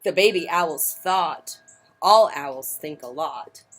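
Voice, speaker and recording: A woman hosting a children's story time, reading picture books aloud in a theatrical, emphatic way with swells and hushes. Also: sits low, takes it slowly. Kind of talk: expressive picture-book read-aloud. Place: outdoors on a porch.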